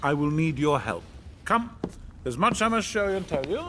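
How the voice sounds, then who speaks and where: An actor playing an elderly, fussy alien starship captain in a radio drama, grave and halting. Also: male voice, fairly high pitch, sing-song, noisy background.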